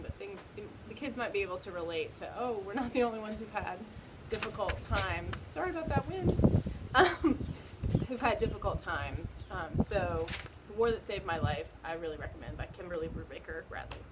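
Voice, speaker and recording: Woman chatting casually over loud wind. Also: medium pitch, animated, brisk. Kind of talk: casual book recommendation chat. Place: outdoors in the wind. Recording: loud wind noise on outdoor home video.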